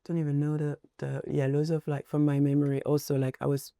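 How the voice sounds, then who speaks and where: Gravelly French-accented man, dark tone. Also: on the high side, completely flat delivery, fairly quick, no echo, clean studio sound. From France. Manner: hesitant, searches for words. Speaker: guest. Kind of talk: casual chat.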